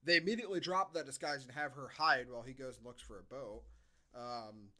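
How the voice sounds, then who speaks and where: A man's voice: medium pitch, a little fast, sharp tone. A man co-hosting a podcast, giving a casual, comedic movie recap in a sardonic manner. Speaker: podcast co-host.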